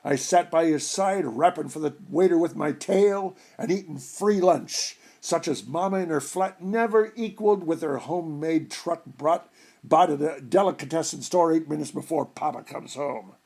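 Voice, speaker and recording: Higher-voiced man reading a story. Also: normal speed, a little mellow. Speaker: story reader. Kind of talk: expressive reading of a humorous short story.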